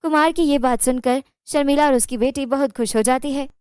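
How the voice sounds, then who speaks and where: A woman's voice: high voice, a little fast, darkish tone, dry recording, clean studio sound. A woman reading scripted narration for a children's moral story.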